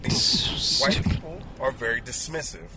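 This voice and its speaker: A man's voice: mid-range voice, very animated, a little slow, a crowd in the background. A man speaking earnestly to camera in his own video, lecturing his viewers. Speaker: video maker. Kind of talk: direct-to-camera commentary. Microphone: played from a video.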